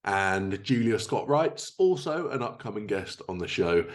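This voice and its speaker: A man's voice: fairly low pitch, sing-song, a little slow. A man hosting a podcast, presenting prepared updates and introductions. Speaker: podcast host.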